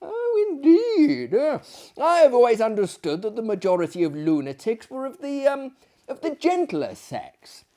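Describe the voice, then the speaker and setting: A man acts out a genteel, nervous visitor from a storybook, his voice lifted high. He asks his curious questions tentatively and politely, halting often as he goes. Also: wildly swooping pitch, warm tone, heavily acted.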